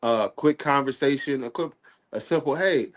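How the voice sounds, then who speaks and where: A man in his forties, a bit sick and nasal, talking in a relaxed, slangy way on a live stream chat. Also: mid-range voice, moderate pace, background noise. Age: 40s. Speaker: guest on a live stream talk. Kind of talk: casual chat.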